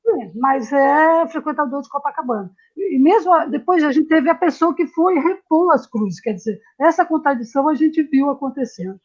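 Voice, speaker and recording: High-voiced Brazilian woman interviewing. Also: moderate pace, a little mellow, lo-fi sound. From Belo Horizonte, Minas Gerais, Brazil. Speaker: host, interviewer. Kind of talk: casual conversational interview.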